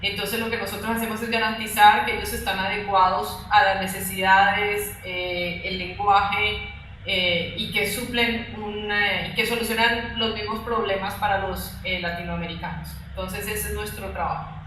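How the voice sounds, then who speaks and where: A woman's voice: medium pitch, fairly even intonation, moderate pace, pushed and bright. A woman executive giving explanatory answers as an interview guest, often ending phrases with a questioning tag. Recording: very echoey room, faint background noise.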